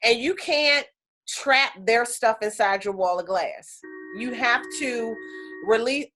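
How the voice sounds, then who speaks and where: A woman teaching an energy healing class on a video call, chatty and animated, with lots of fillers. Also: on the high side, takes it slowly, soft background music.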